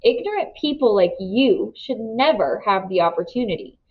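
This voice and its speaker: A woman's voice: lively intonation, moderate pace, lo-fi sound, natural voice. A woman reading a storybook voices a smug, greedy man who talks with petulant, thin politeness. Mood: condescending, eager. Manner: smooth talk turning higher, petulant and shrill.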